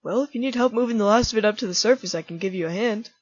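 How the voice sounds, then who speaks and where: A woman's voice: medium pitch, very fast, dry recording, highs cut off, natural voice. A woman voicing a laid-back adult male skeleton in a fan comic dub, talking casually and joking. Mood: easygoing. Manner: casual, joking, uses nicknames.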